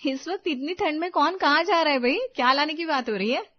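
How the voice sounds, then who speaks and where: High-voiced anxious young daughter-in-law played by a woman. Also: brisk, dull audio, natural voice. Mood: alarmed. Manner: fretful, insistent, solicitous. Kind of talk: Hindi animated family moral story.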